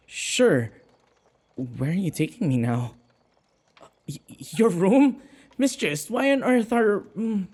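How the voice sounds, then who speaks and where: A male actor plays a submissive human servant in a vampire audio roleplay. His voice is mid-pitched and mellow, and he speaks slowly and hesitantly. He stammers nervously, sighing and muttering anxious asides as he addresses his mistress. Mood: wary, questioning. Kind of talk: audio roleplay acting, spoken to the listener.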